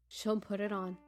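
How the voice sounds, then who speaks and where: A woman player chatting casually during a tabletop game, asking questions and describing what her character does. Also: medium pitch. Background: faint game music.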